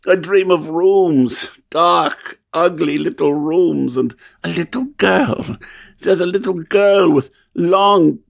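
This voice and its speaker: An actor playing a haunted, desperate composer in an old-time radio drama, with theatrical, brooding delivery. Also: male voice, on the high side, takes it slowly, creaky. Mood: anguished. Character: tormented, obsessive male composer, haunted and desperate.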